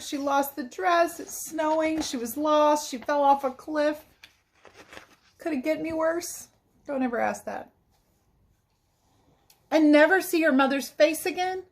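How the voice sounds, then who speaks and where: A woman with a fairly high voice reads a picture book aloud as a teacher would for young children. Her delivery is expressive and dramatic, and she steps out of the story to explain things simply.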